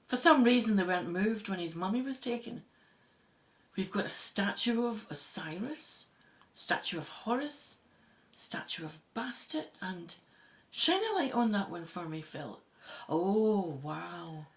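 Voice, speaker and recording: An actress in an audio drama playing a brisk, commanding Egyptologist who leads a dig and marvels at what she sees. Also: mid-range voice.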